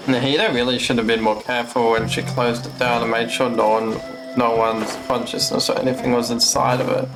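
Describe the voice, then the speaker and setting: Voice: mid-range voice, flat delivery, brisk, poor audio. A streamer giving casual, blunt live commentary on a game. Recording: game music behind the voice.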